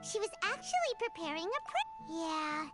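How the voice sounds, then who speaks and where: A woman voicing a tiny floating fairy companion who is chirpy and blunt, with a high childlike voice, over game music. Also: very animated, moderate pace. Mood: musing.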